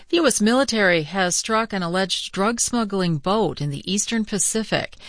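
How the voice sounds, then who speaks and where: Female newscaster, mid pitch, composed scripted read, dry studio sound. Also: dry recording. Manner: crisp, composed delivery. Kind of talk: scripted news reading.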